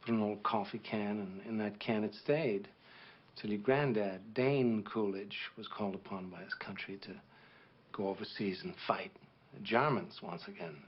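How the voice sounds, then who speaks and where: Casting: a man as a solemn middle-aged war veteran officer, measured delivery, lowish voice, dull lo-fi recording. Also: slight background noise, close to an everyday voice. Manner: measured, solemn storytelling to a child. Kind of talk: dramatic monologue performance.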